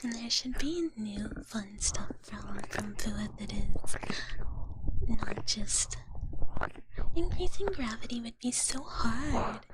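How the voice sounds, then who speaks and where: A woman voicing a sweet, ditzy dragon big sister for live ASMR, whispering softly and breathily up close in cutesy valley-girl talk. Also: on the high side, expressive, slow pace, heavy background noise. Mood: soft, playful. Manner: cutesy valley-girl filler-laden talk in third person. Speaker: streamer. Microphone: close ASMR microphone.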